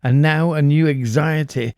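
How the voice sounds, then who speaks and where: A man acts out a nervous madman telling his horror story. He is obsessive and insistent, speaking very deliberately with drawn-out pauses. The voice is soft-edged and dark, recorded close and dry. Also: a little high, fairly even intonation, vocal fry, clean tone, no echo, pitched above the performer's own voice. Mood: agitated insistence. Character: nervous, obsessive mad murderer confessing with intense insistence. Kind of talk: dramatic horror audiobook narration.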